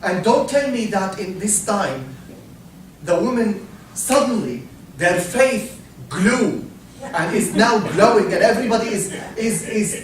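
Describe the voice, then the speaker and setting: A man from Syria, giving a talk, halting and repeating words, asking the audience questions. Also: sits high, expressive. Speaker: speaker. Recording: echoey hall, from far off, faint noise.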